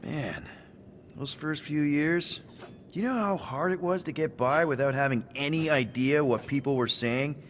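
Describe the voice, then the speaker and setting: An actor playing an easygoing, friendly spaceship crew leader in a video game, speaking in casual, rambling slang. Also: male voice, a little high, moderate pace, slight background noise, lightly acted. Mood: sincere, friendly.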